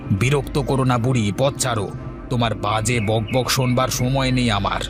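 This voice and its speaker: A man acts an impatient village woodcutter in a children's cartoon. His middle-pitched voice is irritable and brushes people off. Faint music sits under the speech. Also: steady tone, normal speed, close to an everyday voice. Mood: irritated. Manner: irritable, dismissive. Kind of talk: Bengali children's cartoon dubbing.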